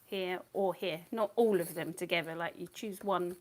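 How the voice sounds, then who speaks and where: A woman's voice: on the low side, steady tone, moderate pace, a little mellow. A woman losing her voice, teaching watercolour painting live in a casual, rambling way and often muttering to herself as she works. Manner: chatty, rambling, thinks aloud, often mutters under her breath.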